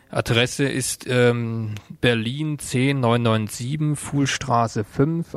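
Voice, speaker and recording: A man reads a scripted radio news bulletin. His voice sits at an average pitch, and the studio sound is dry, with very little room in it. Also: no room sound. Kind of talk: scripted news reading with some ad-libbing.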